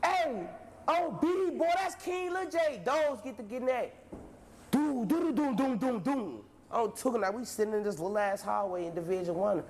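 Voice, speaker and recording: A man mimicking a playful young inmate in a high, lively mocking voice from a played clip. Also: moderate pace, slight background noise. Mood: clowning.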